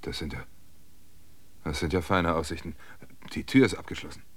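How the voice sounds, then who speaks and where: A man's voice: a bass voice, moderate pace. A man playing a weary, stubborn man of eighty-six in a science fiction radio drama, dry and matter-of-fact. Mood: dry, resigned. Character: 86-year-old weary, stubborn, dry-witted male emigrant.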